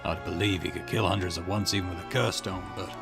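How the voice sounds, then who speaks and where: A grave detective in an occult mystery game acted out by a man. He speaks deliberately in a fairly low voice. Music plays clearly behind him. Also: steady tone. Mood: grim, regretful. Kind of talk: visual novel dialogue read aloud.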